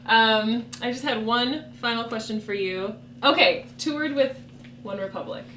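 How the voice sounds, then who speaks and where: A woman's voice: on the high side, moderate pace, a bit bright, a little hiss or hum. Giggly woman interviewer, roomy sound. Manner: giggly, friendly, laughs often. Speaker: interviewer, newspaper editor. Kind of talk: casual interview questions. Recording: echoey room, distant mic.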